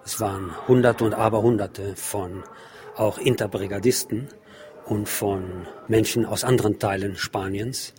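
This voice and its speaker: A man presenting a narrated report on an exhibition, explaining in a measured way with deliberate phrasing. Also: on the low side, steady tone, background noise.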